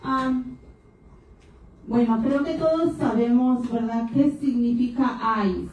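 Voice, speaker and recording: A woman speaking at a panel event, talking in short bursts with hesitations and pausing for her interpreter. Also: fairly high pitch, a little mellow. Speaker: panel speaker for an immigrant rights group. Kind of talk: public talk in Spanish, paused for interpretation. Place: university hall event. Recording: echoey hall, distant mic, faint noise.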